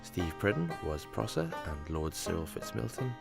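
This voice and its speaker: A man's voice: low voice, very animated, normal speed, gravelly. A man reading scripted closing credits as an announcer. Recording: loud guitar music behind.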